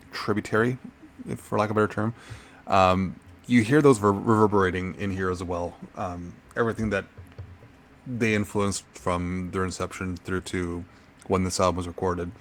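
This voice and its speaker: A middle-aged man with a very low, deep voice, chatting on a music podcast. He weighs his words carefully and fills his pauses with ums. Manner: thoughtful, measured, hesitant with frequent ums. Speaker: podcast co-host. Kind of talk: casual music podcast chat.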